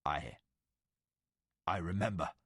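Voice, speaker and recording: A man's voice: low voice, expressive, takes it slowly, fairly bright tone, dry recording, clean studio sound, pitched below the performer's own voice, exaggerated voice. A man voicing an ancient, commanding male warrior of legend, grave and solemn. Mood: haunted.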